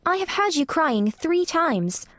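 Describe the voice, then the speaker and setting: A woman's voice: very high-pitched, very animated, unhurried, a bit bright, no echo, studio quality, pitched above the performer's own voice, heavily acted. A woman reading an audiobook, voicing a curious ten-year-old girl who answers carefully and a little nervously. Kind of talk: audiobook character voice.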